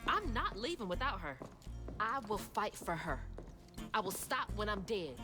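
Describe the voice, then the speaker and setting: An actress playing a headstrong, earnest young daughter with a Southern American accent in a video game cutscene. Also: female voice, mid-range voice, very animated, normal speed, natural voice. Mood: defiant. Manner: earnest, urgent. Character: determined, headstrong young daughter searching for her mother. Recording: loud game music behind.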